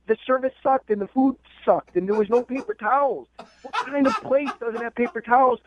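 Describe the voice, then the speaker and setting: Man, strikingly high voice, defensive, aggrieved, repeats himself, tinny phone line. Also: fairly even intonation, brisk, slight background noise. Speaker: radio phone-in guest, spurned date. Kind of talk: heated conversational phone argument.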